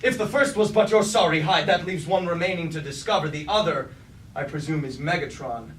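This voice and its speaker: Man acting righteous robot warrior, high male voice, formal archaic declamation, combative, echoey staged reading, faint noise. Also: a little fast, fairly bright tone, lightly acted. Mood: accusing. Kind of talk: staged reading of a mock Greek tragedy. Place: indoor staged reading, mic far from actor. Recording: roomy, distant, noisy live stage recording.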